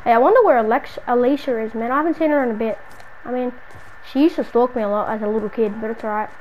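A woman's voice: on the high side, quick pace, darkish tone, a little hiss or hum, natural voice, rough recording. A woman playing an exasperated, slangy city dweller hounded by a stalker friend in an improvised video game roleplay. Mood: casual muttering. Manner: slangy, muttering to self, then scolding.

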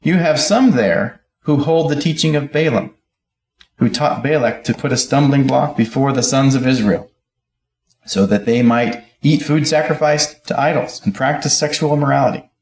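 A man's voice: mid-range voice, normal speed, roomy sound, poor audio. A middle-aged man preaching a sermon at a pulpit, reading scripture aloud and explaining it verse by verse. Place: church auditorium, speaking at a pulpit.

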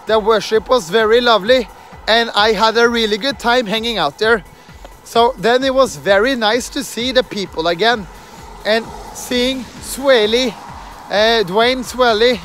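A man's voice: very high-pitched, fairly bright tone. Norwegian-accented man vlogging on a night walk. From Norway. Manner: halting, many fillers and restarts, earnest. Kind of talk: casual walking vlog. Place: outdoors at night on a street. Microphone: phone camera held at arm's length.

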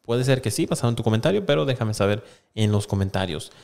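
A man in his thirties hosting an online channel, reading aloud and explaining in a casual, halting way, close to a desk microphone. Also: fairly low pitch, lively intonation, vocal fry, darkish tone. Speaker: YouTube host. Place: small indoor room with plain wall. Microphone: desk microphone close in front of him.

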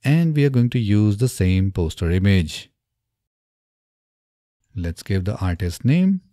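Male tutorial narrator, thirties. Mellow tone with very animated intonation, instructional delivery, close-miked in a small studio. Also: on the low side, a little slow, dry recording. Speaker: software tutorial presenter. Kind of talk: step-by-step screen tutorial narration. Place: small indoor home studio. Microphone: close studio microphone on boom arm.

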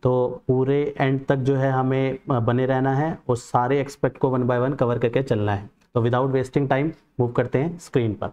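Male Indian presenter, thirties, mellow tone, explanatory tutorial delivery with repeated key phrases. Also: medium pitch, steady tone, unhurried, few pauses, highs cut off. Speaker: tax explainer and channel host. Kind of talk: explanatory lesson mixing Hindi with English terms.

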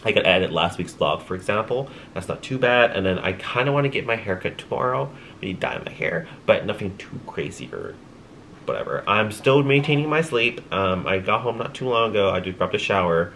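A man's voice: fairly low pitch, animated, brisk, fairly bright tone, a little hiss or hum. A young man chatting casually to his camera at home at night, rambling with lots of ums, sounding congested and tired. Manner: rambling, chatty, with light laughs and many ums. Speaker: vlogger. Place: small home room at night.

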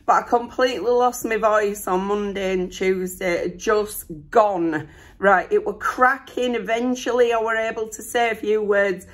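A middle-aged woman talking casually into a camera at home. Her voice is hoarse and a bit rough from a recent illness. She rambles chattily and sounds excited and self-deprecating. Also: medium pitch, moderate pace. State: hoarse, recovering from lost voice. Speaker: vlogger. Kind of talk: casual chat. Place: bedroom at home, indoors.

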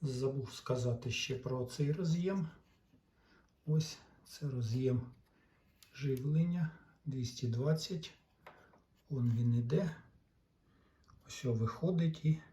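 A man giving a casual hands-on repair walkthrough, halting and thinking aloud in short phrases. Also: mid-range voice, dark tone. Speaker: hobbyist repairer giving a demonstration.

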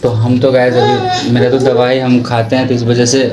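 A chatty young man films his family on a phone and talks to the viewers in a small home. His voice sits at an ordinary middle pitch. Clear background noise runs under the whole recording. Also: completely flat delivery, moderate pace. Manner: chatty, laughs often, addresses viewers directly. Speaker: vlogger. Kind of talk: casual family vlog narration.

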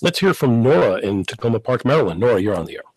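A middle-aged man guest-hosting a radio talk show from a studio, speaking in a measured way with frequent pauses. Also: mid-range voice, big pitch swings, darkish tone, no room sound. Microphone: studio microphone with headphones.

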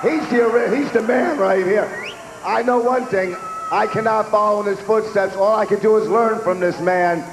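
A middle-aged man co-hosting a festival stage with a handheld mic, hoarse and losing his voice, hyping the crowd with rowdy, profane shouts. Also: high-pitched, normal speed, noisy background. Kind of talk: crowd hype banter. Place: outdoor festival stage before a huge crowd. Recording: echoey outdoor PA with loud crowd.

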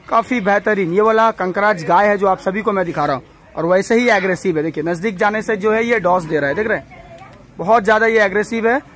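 Man vlogger, high voice, salesy repetitive patter, phone mic in a busy cattle shed. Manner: excited salesy patter, repeats himself. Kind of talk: live walkthrough vlog. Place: crowded indoor cattle shed.

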